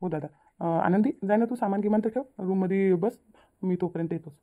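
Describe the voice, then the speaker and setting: A woman's voice: medium pitch, a little fast, natural voice. A woman voicing an apologetic young newlywed son in a cartoon family drama, pleading and explaining himself in a Vidarbha Marathi accent. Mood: concerned, soothing. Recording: tinny phone-like narrow audio.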